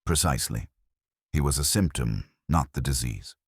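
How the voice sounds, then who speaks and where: A man acts out a brilliant, exacting detective for an audiobook mystery. He is ponderous and formal, with a very deep, rough-edged voice that moves expressively as he pronounces his conclusions. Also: expressive, moderate pace, dry recording, studio quality, exaggerated voice. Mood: grave, certain. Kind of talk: audiobook character dialogue.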